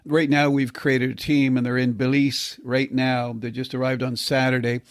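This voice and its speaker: A man's voice: medium pitch, level tone, normal speed, dry recording, clean studio sound. A man hosting a podcast interview and encouraging his guest as he asks about her trip. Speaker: podcast host. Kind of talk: interview conversation.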